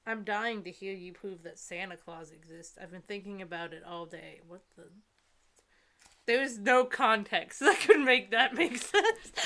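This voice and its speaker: A woman's voice: medium pitch, animated, measured pace, sharp tone. A young woman co-hosting a casual comedic read-aloud, breaking off for giggly, incredulous asides. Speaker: co-host reading book excerpts aloud.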